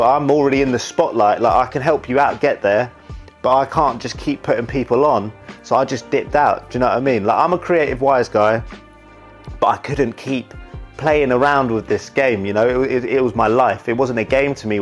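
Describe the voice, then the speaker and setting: Candid young man, rambling talk. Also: medium pitch. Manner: candid, rambling, peppered with "you know". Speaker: YouTuber and wedding videographer. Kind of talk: reflective casual monologue.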